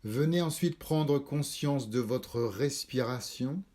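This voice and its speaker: A man leading a guided yoga nidra meditation, calm and measured, instructing in short spaced phrases. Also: mid-range voice. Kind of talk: slow guided relaxation meditation.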